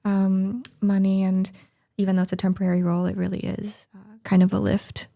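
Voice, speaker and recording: Mellow-voiced young woman. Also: medium pitch, gravelly. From United States. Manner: relaxed, unhurried, many fillers. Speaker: guest student. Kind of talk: casual chat.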